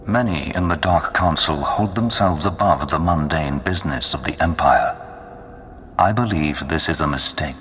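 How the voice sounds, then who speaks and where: An actor voicing a menacing Sith lord in a video game, speaking in a grandiose, commanding way. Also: male voice, sits low, a bit bright, a little hiss or hum. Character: menacing male Sith lord of a dark empire. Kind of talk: video game villain acting. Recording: long cavernous reverb.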